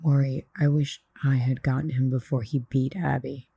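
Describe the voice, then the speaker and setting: A woman plays a hot-headed, vengeful sister in an audio drama. Her voice is very low and husky with a gravelly edge, defiant and reckless, heard in an echoey room. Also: little pitch movement, normal speed, warm tone, heavily acted. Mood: evasive, troubled.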